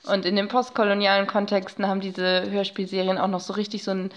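A woman with a medium-pitched voice talks as an expert guest on a podcast. She explains things in a casual, animated way, rephrasing as she goes. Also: fast talker.

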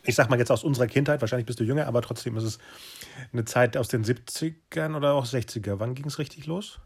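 A man's voice: medium pitch, fast talker, creaky, breathy edge. A man with a German accent hosting a podcast interview in a casual, chatty way. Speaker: podcast host.

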